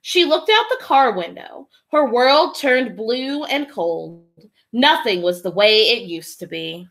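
A young woman reading a children's storybook aloud like a teacher, in an expressive, deliberate storytelling manner. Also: a little high. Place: small indoor room with classroom decorations. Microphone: webcam or laptop at arm's length.

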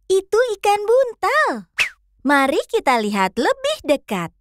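A woman's voice: high-pitched, a little hiss or hum. A woman voicing a cheerful pink fox who hosts a children's cartoon and coaxes kids through a hide-and-seek game. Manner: bright, coaxing, talks to kids.

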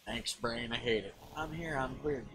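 A young American man livestreaming a game, chatting in an expressive, sweary, teasing way. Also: mid-range voice, normal speed, over music. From California, USA. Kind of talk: casual banter while streaming a game. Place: indoor room, seated in armchair.

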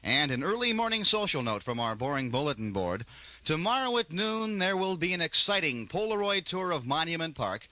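A man's voice: on the high side, a little fast, no room sound. A man working as a morning DJ on a 1970s country radio show, with playful, joking patter and forecasts. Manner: joking, playful deadpan bits. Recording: 1978 AM radio aircheck.

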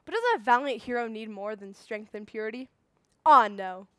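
A valiant little would-be knight played by a woman in a stage monologue. The high, bright voice is grandly earnest, like a child reciting a heroic legend. The recording is dry. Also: normal speed, dry recording. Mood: solemn resolve.

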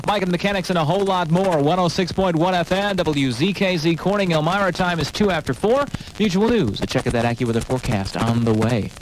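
Radio dj guy announcing, voice a bit high, slight tape noise. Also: lively intonation, fast talker. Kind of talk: on-air announcing. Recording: noisy old radio aircheck tape.

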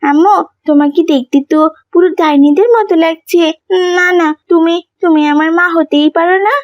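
A woman's voice: extremely high pitch, fairly even intonation, normal speed, dry recording, lo-fi sound. A woman voicing a young schoolgirl in a dramatic family cartoon, earnest and childlike. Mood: shocked, scornful.

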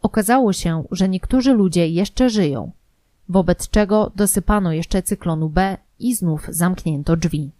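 A woman's voice: lowish voice, unhurried, warm tone, dry recording. A woman narrating a scripted historical true-crime audio programme.

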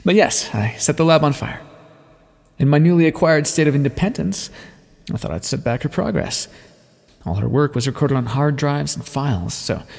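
A man's voice: a little high, normal speed, gravelly, darkish tone. A man playing a bitter, sardonic vampire scientist in an audio roleplay, wry, wordy and theatrical. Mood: gleeful, teasing. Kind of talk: ASMR roleplay monologue, dramatic storytelling. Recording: long reverberant echo on the voice.

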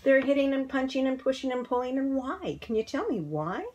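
A woman voicing a very old, tall, wise tree in a children's story, speaking deliberately and emphatically in moral lessons. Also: high-pitched, expressive, darkish tone, highs cut off, voice pushed up. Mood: earnest, dismayed.

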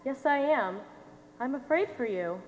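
A young woman playing an earnest, protective, easily exasperated doctor in a high school stage play. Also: animated, a little slow, a little hiss or hum. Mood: worried, protective. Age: young adult. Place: theatre stage, recorded from the audience. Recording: echoey theatre hall.

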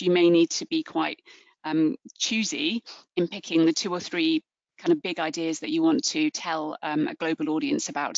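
A woman's voice: deep voice, gravelly, no room sound. An Englishwoman, middle-aged, giving an earnest, encouraging webinar talk as a book publisher over a video call, with frequent ums and restarts. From England. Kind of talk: webinar presentation. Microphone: video call webcam. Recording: dull lo-fi webcam audio.

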